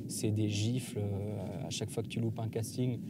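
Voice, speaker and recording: A man's voice: lowish voice, normal speed. Valais-accented man, noisy outdoor recording. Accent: Valais Swiss French. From Switzerland. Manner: relaxed, hesitant, many restarts. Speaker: guest, freestyle skier and actor. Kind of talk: casual interview conversation.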